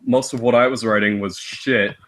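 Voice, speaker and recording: A man's voice: medium pitch, fairly even intonation, normal speed, fairly bright tone, slight background noise. A man chatting casually as a guest commentator, with a loose, joking way of talking. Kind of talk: casual chat.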